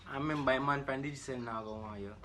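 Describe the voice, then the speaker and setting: A young Jamaican man talks casually, as on a prank call. His delivery is quick and his tone bright and forward, over faint background noise. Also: medium pitch. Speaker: prank caller. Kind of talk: casual phone chat.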